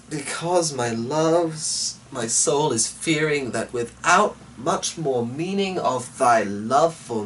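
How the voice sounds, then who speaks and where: Slow, theatrical man reciting gothic verse. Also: mid-range voice, recorded from a distance, a little hiss or hum, rough recording. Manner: grandiose, dramatic, measured. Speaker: poetry reader and host. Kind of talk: theatrical gothic poetry narration, archaic flowery phrasing.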